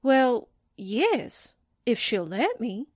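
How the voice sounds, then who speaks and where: A woman playing an eager, excitable, slangy newspaperwoman sidekick in a 1940s-style radio drama. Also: on the high side, drawn-out words, dry recording, studio quality, exaggerated voice. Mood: hesitant, willing. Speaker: actor in an amateur radio drama re-creation.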